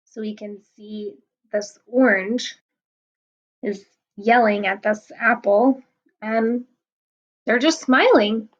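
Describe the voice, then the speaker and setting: A woman teacher reading a picture book aloud to children, gently explaining words and asking them questions. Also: a little high, slow, pauses often, muffled recording.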